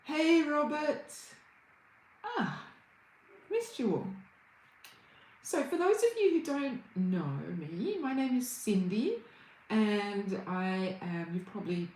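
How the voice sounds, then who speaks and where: Lively Australian woman, echoey room. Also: on the high side, slow pace, pauses often. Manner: chatty, greets viewers by name, laughs easily. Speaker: Reiki healer and livestream host. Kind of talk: casual livestream chat greeting viewers.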